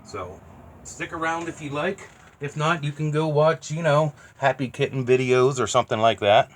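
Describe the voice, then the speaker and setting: A man's voice: a little high, moderate pace. A man chatting casually and unscripted as a vlogger, in a plainspoken, offhand way. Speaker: vlogger documenting a bus build. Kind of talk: casual unscripted vlog chat.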